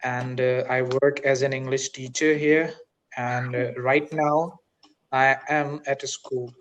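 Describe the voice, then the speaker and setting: A man from Pakistan with a Pakistani accent, an English teacher chatting politely on a video call from a school, with frequent pauses and fillers. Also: medium pitch, level tone, fairly bright tone. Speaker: English teacher, channel host. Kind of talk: casual video-call conversation. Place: school, indoors.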